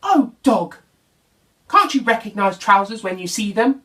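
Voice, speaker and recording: A man's voice: high-pitched, sing-song, a little slow, fairly bright tone, pitched above the performer's own voice, exaggerated voice. A man voicing a small, earnest young bear in a children's storybook, recorded at some distance. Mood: dismayed. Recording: voice distant from the mic.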